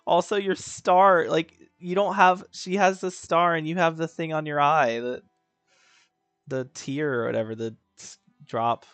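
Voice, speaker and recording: A woman's voice: deep voice, fairly even intonation, a little slow, no room sound, faint music behind. A woman giving casual gameplay commentary as a streamer, with offhand asides reacting to the game.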